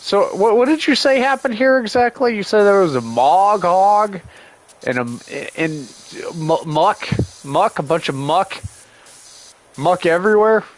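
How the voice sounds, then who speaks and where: A man's voice: high-pitched, unhurried. A man doing casual livestream game commentary, sarcastically grumbling and talking back to the game's characters, still getting over a cold with a lingering cough. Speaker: gaming streamer.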